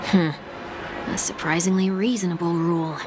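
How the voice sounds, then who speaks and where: A woman's voice: on the low side, fairly even intonation, moderate pace, background noise, natural voice. An actress playing a brash, sardonic young woman in a fantasy video game, with a dry, teasing, casual delivery. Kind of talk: scripted video game voice acting.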